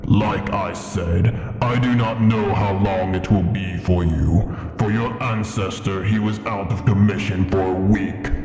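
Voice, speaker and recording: A man voicing an ancient, proud dragon in a fantasy ASMR roleplay, speaking in an imperious, formal and deliberate manner. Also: lowish voice, steady tone, darkish tone, close to an everyday voice. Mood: measured, instructive. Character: ancient proud male dragon in his cavern lair. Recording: echoing cavern reverb with music behind. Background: music.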